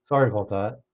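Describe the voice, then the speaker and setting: A man hosting a livestream, chatting casually with viewers and answering their comments, his voice a bit hoarse. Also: medium pitch. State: hoarse, clearing his throat. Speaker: livestream host. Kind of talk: casual chat with live viewers. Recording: somewhat dull, lo-fi stream audio.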